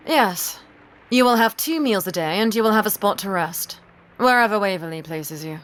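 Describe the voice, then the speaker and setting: A woman's voice: medium pitch, lively intonation, moderate pace, fairly bright tone, close to an everyday voice. A woman playing a stern, cold ship's captain in an audio drama, curt and imperious. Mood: cold, commanding.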